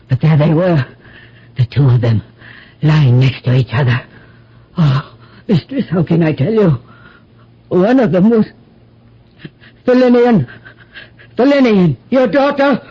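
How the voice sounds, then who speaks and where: Elderly female servant, radio drama, raspy breathy voice, scared, insistent, dull lo-fi recording. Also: sits low, measured pace, pauses often, heavily acted. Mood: distraught. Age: older. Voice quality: husky, breathy.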